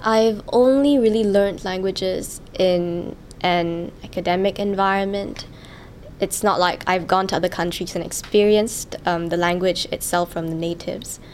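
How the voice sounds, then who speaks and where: Slow, halting young woman. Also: on the low side, fairly even intonation, darkish tone, a little hiss or hum. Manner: slow and halting, with many "um" pauses. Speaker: student interviewer and interviewee. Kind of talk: casual interview answers.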